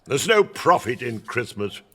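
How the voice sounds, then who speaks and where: A man's voice: medium pitch, very animated, unhurried, pushed and bright, exaggerated voice. An actor playing a grumpy, miserly old businessman in a theatrical radio play, barking and scornful.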